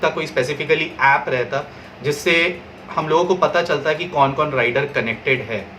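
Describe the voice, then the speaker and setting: A man's voice: a little high, measured pace, pushed and bright, slight background noise. A man giving a casual, step-by-step product review and how-to, dropping English phrases into his talk. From India.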